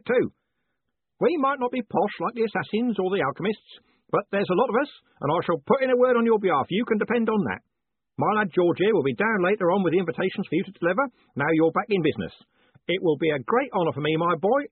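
Booming old merchant guy, hearty, voice jumps way up, a man putting him on, tinny dry audio. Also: very animated, fast talker, slightly rough, no echo, exaggerated voice. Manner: booming, hearty, uses "lad" and "young man". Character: loud blunt old male merchant, bellowing.

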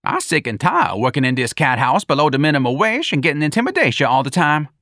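A man's voice: on the high side, sing-song, quick pace, bright, forceful tone, dry recording, studio quality, pitched above the performer's own voice, heavily acted. A man voicing a streetwise, defiant and fed-up male bar janitor for an audiobook, with slangy speech and whooping exclamations. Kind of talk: audiobook character voice.

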